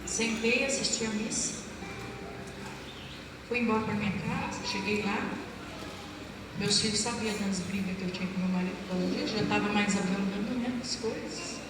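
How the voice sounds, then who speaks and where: A middle-aged woman with a Brazilian Portuguese accent telling her church testimony to an audience, a lively storyteller who acts out dialogue and asks the crowd questions. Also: medium pitch, moderate pace, pauses often, background noise. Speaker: former pastor giving a testimony. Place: large hall. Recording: echoey hall from far off with music. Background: music.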